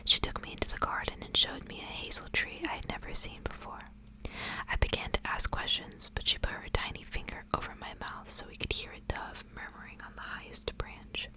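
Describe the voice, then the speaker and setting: A woman reading a literary tale aloud for ASMR in a hushed, unvoiced whisper. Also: fairly quick, rough recording. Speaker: ASMR reader.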